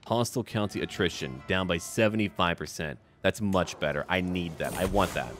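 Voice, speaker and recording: A man's voice: deep voice, fairly quick, a bit bright, slight background noise. A man doing casual let's play commentary on a strategy game, musing to himself and addressing the characters in short remarks. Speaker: gaming video creator playing a strategy game.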